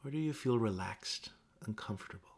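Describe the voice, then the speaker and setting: A man gently leading a guided meditation with measured instructions and pauses. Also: on the low side, animated, slightly rough.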